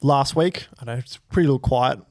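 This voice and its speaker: Jokey man, mid pitch. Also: fast talker. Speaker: podcast co-host. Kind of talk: casual chat.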